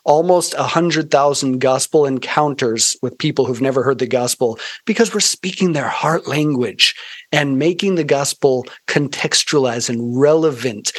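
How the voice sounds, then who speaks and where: A man's voice: medium pitch, measured pace, dry recording. An American man speaking earnestly and articulately, telling stories in a conversational interview with touches of preaching. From United States (Arizona). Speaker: guest, missionary organization founder.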